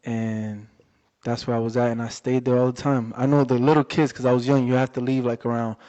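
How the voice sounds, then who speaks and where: Man, young adult, monotone, mellow, dull lo-fi sound with long reverb. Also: medium pitch. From Worcester, Massachusetts area. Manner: relaxed, halting, many fillers. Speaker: boxer, interview guest. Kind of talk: casual interview chat. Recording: dull lo-fi audio in a reverberant room.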